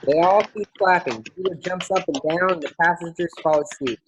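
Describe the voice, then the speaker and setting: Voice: medium pitch, fairly quick, highs cut off, noisy background. A narrator reading out a play's stage directions as scripted narration.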